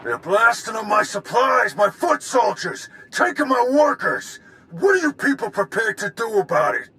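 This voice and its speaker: A blustering space pirate boss for a game. His voice is sharp and forceful with big swings in pitch. He talks in rough, threatening slang. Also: very animated, normal speed, slight background noise, exaggerated voice. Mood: demanding, angry. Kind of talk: video game voice acting.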